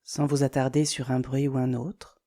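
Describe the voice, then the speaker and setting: Woman doing guided meditation, really low soft-toned mellow voice, slow and soothing, lots of pauses. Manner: calm, soothing, measured, with deliberate pauses. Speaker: yoga nidra guide.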